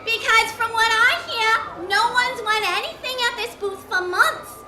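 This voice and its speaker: An actress playing a feisty, stubborn young sidekick with a brassy, wisecracking manner in an old-style radio detective drama. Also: female voice, extremely high pitch, unhurried, pushed and bright, a little hiss or hum, full character voice. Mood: confrontational. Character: feisty, stubborn young woman sidekick, sassy acrobat librarian. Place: theater stage, live performance.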